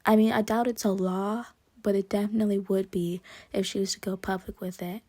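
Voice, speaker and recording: A woman giving casual, rambling commentary while she draws, soft and quiet, self-deprecating and prone to hesitant restarts. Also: mid-range voice, little pitch movement, brisk, dry recording. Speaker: digital artist and channel creator. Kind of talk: casual commentary over a speedpaint.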